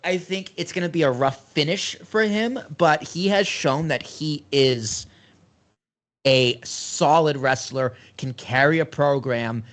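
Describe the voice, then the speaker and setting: A man's voice: on the high side, slow pace, fairly bright tone. A man co-hosting a podcast, talking loosely and enthusiastically in casual chat. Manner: loose, rambling, enthusiastic fan talk. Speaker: podcast co-host.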